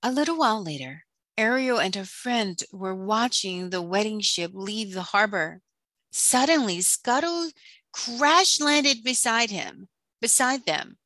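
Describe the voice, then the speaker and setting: A woman narrating a scripted children's storybook in a deliberate read-aloud manner. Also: mid-range voice, lively intonation, sharp tone, dry recording.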